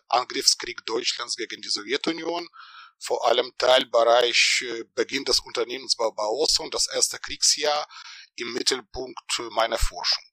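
A middle-aged man from Ukraine, a historian introducing himself formally with many hesitant pauses. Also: mid-range voice, pushed and bright, no room sound, low-quality recording. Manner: frequent hesitations, measured and formal. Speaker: historian guest. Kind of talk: self-introduction in interview.

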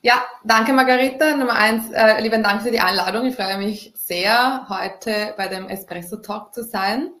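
A woman's voice: fairly high pitch, moderate pace, few pauses, a bit bright, a little hiss or hum. A woman from Italy, a startup co-founder answering interview questions at length with many fillers. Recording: roomy echo.

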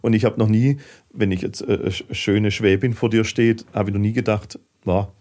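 A man's voice: on the low side, moderate pace. A man from southern Germany with a Swabian accent, talking casually as a podcast guest in a fidgety, storytelling way. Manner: fidgety, animated storyteller with dialect.

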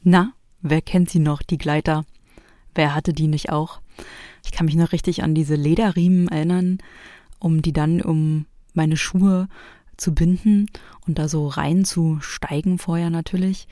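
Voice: mid-range voice, steady tone, measured pace, warm tone, no echo, clean studio sound. A narrator talking personally and chattily to listeners, reminiscing and addressing them directly. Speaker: host and narrator of a private audio collage.

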